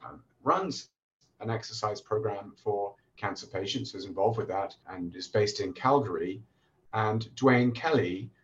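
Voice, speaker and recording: A man, hoarse from a recent illness, hosting a podcast interview in a relaxed way with many fillers and restarts. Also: fairly low pitch, highs cut off. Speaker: podcast host and oncologist.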